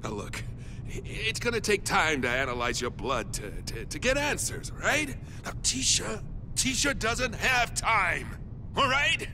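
An anxious doctor, wildly swinging pitch. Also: male voice, big pitch swings, unhurried, background noise. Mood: agitated. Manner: hesitant, stammering, urgent. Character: anxious, pleading doctor and researcher, easily agitated. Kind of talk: cinematic video game acting.

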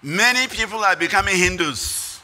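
Older male preacher, Ghanaian accent, very sharp high voice, phrase-by-phrase conference address at a podium. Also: lively intonation, moderate pace. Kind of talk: prepared speech read at a lectern. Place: conference hall stage. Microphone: podium microphones.

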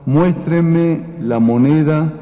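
Older priest in an echoing church. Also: male voice, on the high side, very slow. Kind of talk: Gospel reading and homily. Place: large brick church. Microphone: lectern gooseneck microphone. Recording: echoey church hall, dull sound.

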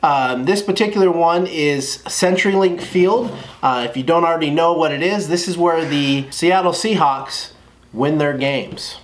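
A man's voice: fairly high pitch, measured pace, slight background noise. A man in his thirties hosting a casual unboxing review in a small room, upbeat and chatty with his viewers. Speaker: channel host. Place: small indoor room at a table.

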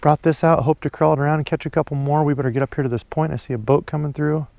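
A man's voice: a little high, steady tone, very fast, creaky, a little mellow, no room sound. Young male fishing vlogger, chatty and folksy, outdoors, head-cam, dry. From Iowa, USA. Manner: chatty, upbeat, folksy sayings. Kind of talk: casual narration while fishing. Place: outdoors on a pond bank. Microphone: head-mounted action camera.

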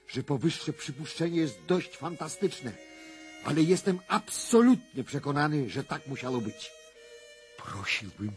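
An actor playing a self-assured, probing clairvoyant investigator in a radio drama, speaking in a formal, deliberate and emphatic husky voice. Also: male voice, medium pitch, darkish tone.

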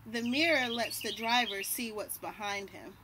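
Young female children's read-aloud host, slightly high voice, unhurried and deliberate delivery, porch recording with light birdsong. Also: pushed and bright, poor audio. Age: young adult. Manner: deliberate, pausing to point out and label pictures. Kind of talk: reading a picture book aloud to children. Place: outdoors on a house porch by trees. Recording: birdsong and light outdoor background noise. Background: birds chirping.

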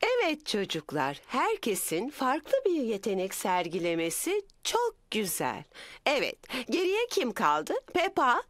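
A woman's voice: a little high, huge pitch swings, unhurried, fairly bright tone, full character voice. A woman voicing a kindly, encouraging gazelle schoolteacher in a children's cartoon, with a sing-song manner. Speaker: teacher. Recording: noisy background.

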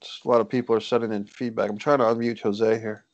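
A man's voice: on the low side, level tone, quick pace, no room sound. A man casually moderating an online video call as its host. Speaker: host of an online table read. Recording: dry, slightly dull call audio.

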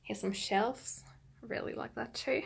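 A young woman chatting casually to her viewers as a travel vlogger, with a husky voice. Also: on the high side, steady tone, unhurried. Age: young adult. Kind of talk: casual vlog chat to viewers. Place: small hotel room. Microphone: handheld camera at arm's length.

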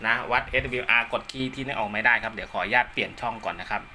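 Guy doing a casual radio hobby demo at home, really sharp bright voice, bit of noise behind. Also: lowish voice, racing. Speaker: amateur radio hobbyist presenting his own video. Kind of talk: casual hands-on demonstration. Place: indoors at home.

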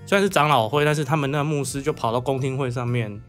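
A man's voice: mid-range voice, fast talker, fairly bright tone. A man from southern Taiwan with a Taiwanese Mandarin accent, chatting casually as an interview guest and telling personal stories. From southern Taiwan (Kaohsiung). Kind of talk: casual interview chat, storytelling. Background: background music.

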